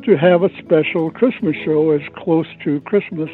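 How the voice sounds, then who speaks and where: A man's voice: fairly high pitch, normal speed. A man, an old-time radio expert explaining things informally as an interviewee. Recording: thin tinny phone line, faint music.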